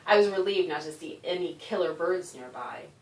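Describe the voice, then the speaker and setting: Animated woman narrator in an echoey room. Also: on the low side, moderate pace. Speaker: author reading aloud. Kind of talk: expressive children's audiobook narration. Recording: roomy echo, distant microphone.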